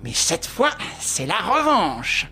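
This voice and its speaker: An audiobook narrator voicing a stubborn, excitable veteran police inspector who talks in agitated, insistent bursts. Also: mid-range voice, slow, a little hiss or hum, heavily acted. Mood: triumphant.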